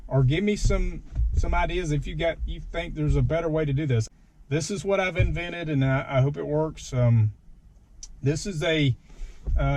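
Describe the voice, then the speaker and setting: A man's voice: fairly high pitch, a little mellow. A man giving a casual, informal, self-deprecating hands-on video walkthrough from inside a truck. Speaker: vlogger demonstrating his gear. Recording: clear background noise in a vehicle.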